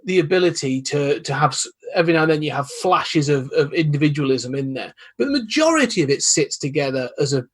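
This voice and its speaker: Husky-voiced man, thoughtful. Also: fairly high pitch, lively intonation. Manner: thoughtful, measured, hedging with "you know". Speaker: podcast guest. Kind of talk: casual chat.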